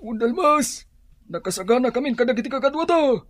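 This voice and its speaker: Sidekick henchman for radio drama: very high, swooping, eager and excitable male voice. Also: fairly quick.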